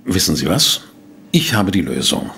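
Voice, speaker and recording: An actor playing an eager, shrewd male investigator in a German audio drama, with a gravelly, husky voice and expressive delivery. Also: low voice, normal speed, full character voice. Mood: reassuring.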